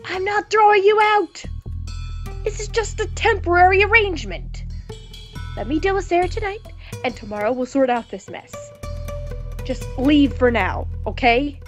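A flustered, anxious young man from a visual novel, read by a woman, pleading urgently in a high, raised voice. Music plays faintly behind. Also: lively intonation, normal speed, pauses often, muffled recording. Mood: exasperated, pleading.

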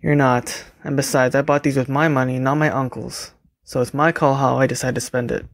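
A man plays a polite, understated young man in an audio drama. He talks briskly with some fry in his voice, recorded close and dry. He comes across as reserved and caring. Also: medium pitch, steady tone, no room sound, natural voice. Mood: gently caring. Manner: courteous, understated.

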